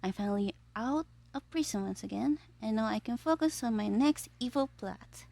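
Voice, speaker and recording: A woman voicing a gleeful, scheming young male supervillain in an ASMR audio roleplay, theatrical and petulant, musing aloud with breathy asides. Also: a little high, animated, moderate pace, dark tone.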